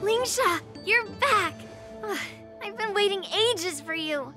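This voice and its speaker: Very high-pitched cheeky swordgirl. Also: lively intonation, slow, full character voice. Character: young swordswoman girl, blunt and cheeky. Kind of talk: game voice acting. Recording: game audio with background music.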